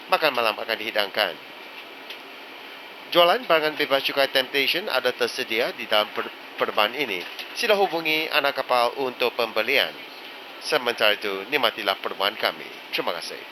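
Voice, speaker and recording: Man, airline announcer, formal scripted delivery, measured phrasing, mid-pitched, loud cabin noise. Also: lively intonation.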